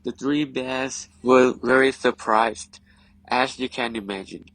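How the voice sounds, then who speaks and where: A man's voice: medium pitch, fairly even intonation, takes it slowly, fairly bright tone, dry recording, low-quality recording. A man narrating a scripted children's story for a puppet show. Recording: clear background noise, clicks.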